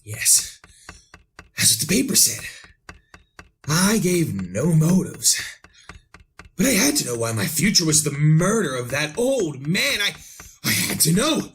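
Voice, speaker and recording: Halting, tormented madman with swooping pitch. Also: sing-song, slight background noise. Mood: frantic, bewildered. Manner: halting, stammering, overwrought, repeating himself. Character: tormented man narrating his own descent into madness. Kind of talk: old-time radio horror drama acting.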